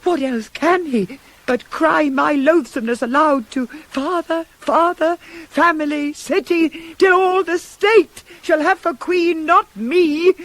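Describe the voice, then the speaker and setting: A woman playing a proud, anguished queen bent on death in a radio tragedy, declaiming and falling into intense whispers. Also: sits high. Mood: anguished outcry. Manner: heightened, declamatory, often dropping to intense whispers. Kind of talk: 1980s radio drama, Greek tragedy. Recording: hissy radio play taped on cassette.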